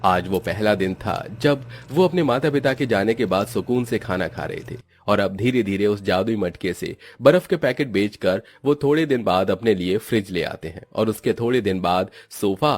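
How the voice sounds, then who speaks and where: Low-voiced man narrating a story. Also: expressive, moderate pace, few pauses. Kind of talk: scripted narration of children's moral story.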